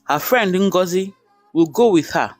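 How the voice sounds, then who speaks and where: A man's voice: high voice, lively intonation, measured pace, no echo, faint music behind. A man with a Nigerian accent narrating a folktale in short, dramatic sentences. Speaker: storyteller.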